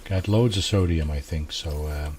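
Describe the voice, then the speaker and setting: A man with a low, soft voice, quietly talking through a video game at an unhurried pace, musing aloud with many fillers. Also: slight background noise. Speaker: gameplay streamer. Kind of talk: casual let's-play commentary.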